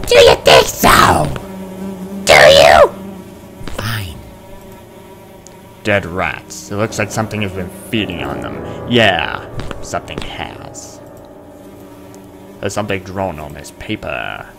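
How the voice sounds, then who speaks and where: A man's voice: deep voice, lively intonation, takes it slowly, vocal fry, pauses often, over music. A man hosting a horror game playthrough, talking to himself and to the game in a theatrical, jumpy way. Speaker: gaming video host.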